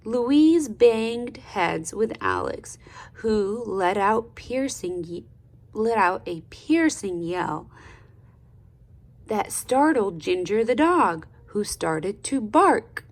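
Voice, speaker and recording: Slow-reading woman, creaky voice, storybook read-aloud. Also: medium pitch. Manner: deliberate, expressive teacher-like delivery with pauses and prompts. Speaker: speech therapist reading aloud to children. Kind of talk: children's storybook read-aloud with questions to the listener.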